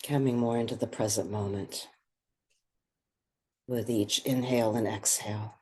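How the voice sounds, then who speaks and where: A person guiding a meditation in a soft, breathy voice, speaking gently and soothingly with unhurried pauses. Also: medium pitch, steady tone, slight background noise. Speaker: meditation guide. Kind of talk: guided meditation.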